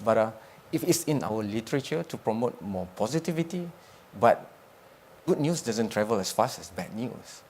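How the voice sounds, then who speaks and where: Male panel moderator, probing, long-winded questions, mid pitch. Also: animated, moderate pace, darkish tone, a little hiss or hum. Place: conference panel stage.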